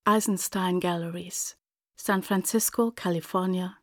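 Woman playing neutral radio drama announcer reading addresses n dates, super slow, precise, dry recording. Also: fairly low pitch, steady tone, no echo, natural voice. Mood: neutral, official. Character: impersonal female announcer of letterheads and telegrams. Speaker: narrator. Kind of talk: scripted radio play announcement.

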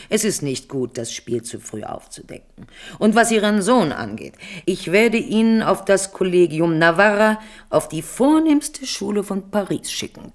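An actress playing a cool, calculating queen mother in a 1970s radio drama, smooth and persuasive with a mocking edge. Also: low-pitched, exaggerated voice.

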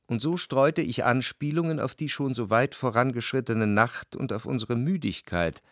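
An actor playing a brooding, introspective narrator, reading in measured, reflective for a literary radio drama. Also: male voice, medium pitch, fairly bright tone, no room sound, clean studio sound, natural voice. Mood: reflective, melancholy. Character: brooding, introspective male narrator recalling a troubled love. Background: music.

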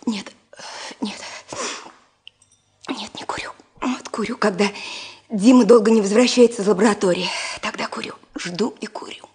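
Breathy, anxious, devoted wife. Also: female voice, mid-range voice, steady tone, moderate pace, pauses often, a little mellow. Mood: wistful, weary. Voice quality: breathy, often half-whispered. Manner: emotional, wistful, repeating words. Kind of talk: Soviet radio drama acting.

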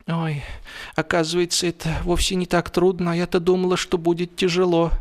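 A man voicing a chirpy, scatterbrained young lady in a comic audiobook, with naive, drawn-out, airy exclamations. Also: sits high, completely flat delivery, pitched above the performer's own voice, natural voice.

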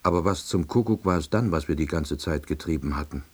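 A man playing a subdued, baffled middle-aged East German salesman, narrating a radio play in a dry, husky voice. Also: a bass voice, a little fast. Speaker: narrator and lead character.

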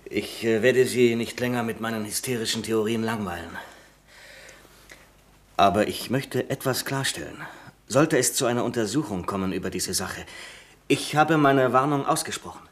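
An actor playing a worried, dutiful bank deputy in a radio play, formal, precise and earnest. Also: male voice, mid-range voice, moderate pace, lightly acted. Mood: stiff, offended. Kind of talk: German radio play acting, crime comedy.

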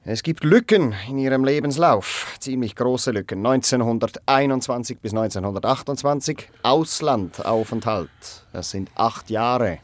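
Actor as a stern, probing official, close and dry. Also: male voice, medium pitch, normal speed, hardly any pauses, no echo, lightly acted. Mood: probing, curt. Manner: curt, formal, insistent questioning. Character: stern, probing official interrogating a job applicant. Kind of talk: radio drama dialogue.

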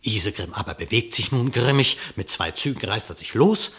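An actor playing a storyteller, narrating a radio-play animal fable in verse with theatrical, vivid declamation and a husky voice. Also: male voice, lowish voice, lively intonation, normal speed, pushed and bright, heavily acted. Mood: dramatic, vivid.

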